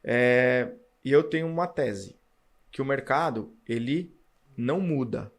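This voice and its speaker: A man, a podcast guest telling his career story in an animated way. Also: medium pitch, a little slow. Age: 40s. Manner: animated storytelling, acts out past dialogue. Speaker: podcast guest, car dealer. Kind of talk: casual storytelling interview.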